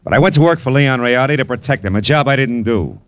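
An actor with an American accent playing a wry, world-weary private detective in an old radio noir drama, speaking in a terse, sardonic way. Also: male voice, medium pitch, animated, fast, no room sound, natural voice. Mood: blunt, resolute. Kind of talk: 1940s-50s radio noir narration and acting. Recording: dull vintage radio broadcast recording.

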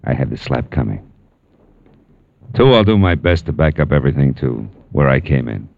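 An actor with an American accent playing a wry, world-weary private detective in an old radio noir drama, speaking in a terse, sardonic way. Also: male voice, extremely low pitch, lively intonation, moderate pace, vocal fry, heavily acted. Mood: blunt, resolute. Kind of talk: 1940s-50s radio noir narration and acting. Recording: dull vintage radio broadcast recording.

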